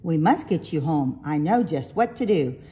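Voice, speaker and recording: A woman voicing an eager, playful talking cat guide from a storybook in an excited, urging manner. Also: deep voice, normal speed. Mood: eager, hurried.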